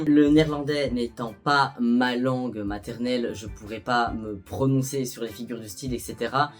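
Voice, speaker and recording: A young man giving a casual, chatty review of a musical, animated and self-mocking. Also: medium pitch. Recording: soft background music.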